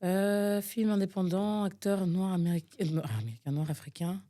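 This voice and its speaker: A woman's voice: mid-range voice, normal speed, dark tone. A young woman from Nigeria co-hosting a podcast, chatting through a guessing game, thinking aloud with lots of fillers and getting exasperated. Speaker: podcast co-host. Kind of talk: casual chat, guessing game.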